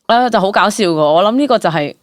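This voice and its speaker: A woman's voice: medium pitch, fast talker, no room sound, studio quality. A young woman with a Hong Kong Cantonese accent, an interview guest chatting candidly and expressively, dropping in English words. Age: young adult. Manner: animated storytelling, exclamations, mixes in English words. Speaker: interview guest, stage actor. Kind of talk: casual candid chat.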